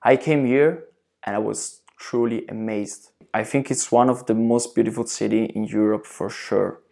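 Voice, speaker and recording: A young student talks openly about moving abroad. Their voice is gravelly and sits in a middle pitch. The delivery is frank and colorful. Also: moderate pace. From Italy. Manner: frank and expressive, swears. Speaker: exchange student. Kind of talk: documentary interview answer.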